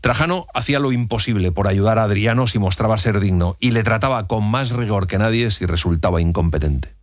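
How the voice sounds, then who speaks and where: A man narrating a historical documentary in an even, authoritative storytelling manner. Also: fairly low pitch, fairly quick, dry recording, studio quality. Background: faint music.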